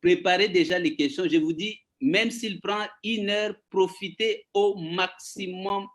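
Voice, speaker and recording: Man, host, slow deliberate delivery, fairly high voice, emphatic, video call. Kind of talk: hosting an online session.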